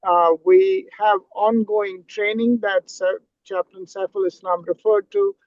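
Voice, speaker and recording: A man representing a chaplain endorsing body, explaining requirements on a video call in a measured, formal way. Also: sits high, steady tone, dry recording. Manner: measured, formal, occasional filler. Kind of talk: explaining a process on a panel. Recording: dry, dull lo-fi call audio.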